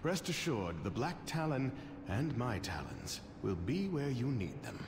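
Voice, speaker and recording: A lively, haughty dragon prince. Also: male voice, medium pitch, a little slow, long reverb tail, background noise. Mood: wry, guarded. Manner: haughty, theatrical, wry. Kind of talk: video game character acting.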